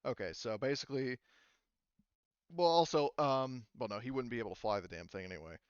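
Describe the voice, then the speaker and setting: A man working as game master, narrating a tabletop roleplaying game casually and haltingly, often correcting himself and swearing. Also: mid-range voice, smooth, dry recording, muffled recording.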